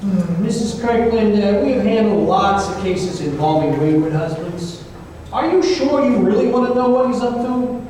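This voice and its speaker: A man's voice: high-pitched, moderate pace, dark tone, close to an everyday voice. A man playing a wry, world-weary private eye, telling his case in old-time radio style with a folksy, hesitant delivery. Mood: businesslike. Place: live stage performance in an echoey room. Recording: echoey hall, distant mic, faint noise.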